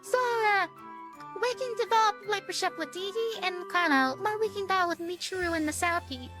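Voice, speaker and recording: A woman doing casual gameplay commentary on a visual novel, reading its text aloud with a halting, stumbling delivery and some swearing. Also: very high-pitched. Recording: music behind the voice. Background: music.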